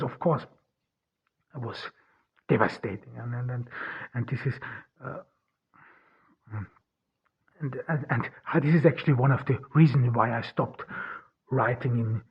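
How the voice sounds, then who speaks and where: Husky halting man, native German speaker. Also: mid-range voice. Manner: halting, earnest, searching for words with many restarts. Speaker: podcast host. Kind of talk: personal spoken monologue. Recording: dull lo-fi recording.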